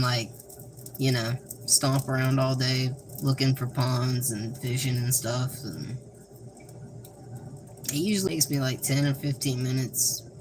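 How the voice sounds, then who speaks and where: A young man with a Southern US accent casually talking through a cooking vlog in a flat, monotone, deadpan way, rambling and joking. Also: medium pitch. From American South. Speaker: cooking vlogger. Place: home kitchen. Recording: noisy home recording.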